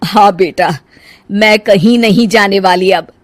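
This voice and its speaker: A woman's voice: medium pitch, a little slow, no room sound, close to an everyday voice. A woman voicing an elderly, emotional and sulky mother-in-law in a cartoon moral story. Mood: gently firm.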